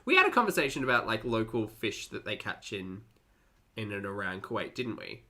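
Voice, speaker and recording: A reaction video host with a bright, forward voice in a middle register. The delivery swings widely in pitch, casual and conversational, with exasperated, sarcastic asides throughout the running critique. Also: big pitch swings, a little fast. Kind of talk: casual reaction commentary over a cooking video.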